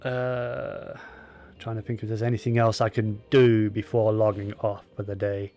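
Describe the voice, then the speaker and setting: A man's voice: lowish voice, little pitch movement, normal speed, dark tone, soft background music. Man giving rambling, opinionated game commentary. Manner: opinionated, rambling, talks to himself. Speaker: gaming streamer. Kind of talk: casual gameplay commentary.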